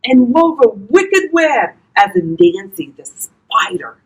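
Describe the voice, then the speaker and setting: An older woman reading a children's storybook aloud expressively, talking directly to young listeners in a husky voice. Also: on the high side, sing-song, slow pace. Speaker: volunteer grandparent reading to children.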